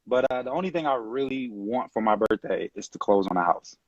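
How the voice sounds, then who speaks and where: A man in his late twenties from California, talking casually as an interview guest on a video call, relaxed and rambling with many fillers. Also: mid-range voice, fairly quick, no room sound. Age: late 20s. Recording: dull dry video-call audio.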